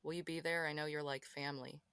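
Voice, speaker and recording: Woman acting as a cool female detective, smooth clear voice, dry close sound, guarded and clipped. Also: deep voice, fairly even intonation, fast talker, a bit bright, no echo. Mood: curt. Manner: crisp, guarded and dismissive. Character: cool, sharp-eyed female police detective. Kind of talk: amateur audio drama acting.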